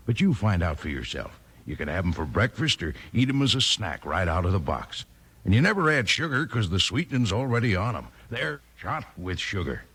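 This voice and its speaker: A man giving a folksy 1950s radio advertising pitch aimed at children. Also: a bit bright, slightly rough. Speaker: commercial pitchman. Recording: old radio recording.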